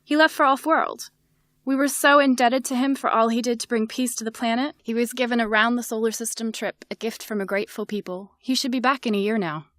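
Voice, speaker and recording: Woman playing a formal, high-voiced planetary president. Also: steady tone, fairly bright tone, dry recording, clean studio sound, lightly acted. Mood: formal, gracious. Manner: formal, measured, courteous. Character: composed female alien planetary president, courteous and diplomatic. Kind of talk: audio drama acting.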